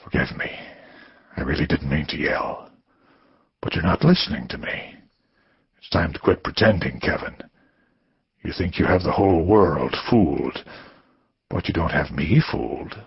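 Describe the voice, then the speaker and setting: A man voicing a menacing male caller who speaks low and breathy, drawing out each syllable in a condescending way. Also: animated, dry recording, lo-fi sound, exaggerated voice. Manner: condescending, slow and whispery.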